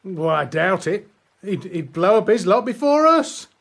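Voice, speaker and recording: A man's voice: high voice, very animated, unhurried, creaky, fairly bright tone, exaggerated voice. A man playing a blunt, commanding First World War army sergeant in a radio drama. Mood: wary, firm. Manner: barking, blunt orders.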